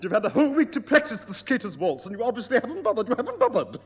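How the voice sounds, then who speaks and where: A fussy scolding piano teacher; high, lively pitch, echoey old broadcast. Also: male voice, rapid-fire, breathy edge, exaggerated voice. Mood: exasperated. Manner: prim, scolding. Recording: dull echoey old radio recording.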